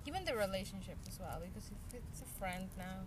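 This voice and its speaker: A woman's voice: mid-range voice, lively intonation, fairly quick, crowd noise. A woman guest on a podcast, talking casually and informally with a friend. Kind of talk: casual chat between friends.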